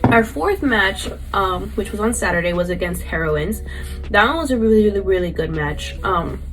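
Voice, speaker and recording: A woman's voice: mid-range voice, animated, normal speed, soft background music, rough recording. Stuffy-voiced young woman, chatty recap. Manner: rambling, filler-heavy, chatty. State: stuffy, congested from a cold. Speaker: vlogger and paintball player. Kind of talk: casual recap vlog.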